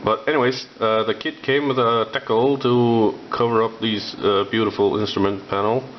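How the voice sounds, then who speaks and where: A young Icelandic man with an Icelandic accent, rambling about building a scale model with lots of filler sounds and pauses. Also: on the low side. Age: young adult. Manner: halting, many filler sounds. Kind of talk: rambling hobby vlog. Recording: dull lo-fi with faint noise.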